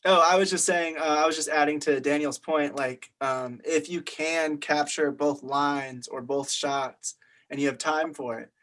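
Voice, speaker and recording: A man's voice: a little high, steady tone, unhurried, fairly bright tone, poor audio. A man taking part in a casual group discussion as a film class member. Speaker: participant in an online film class meeting.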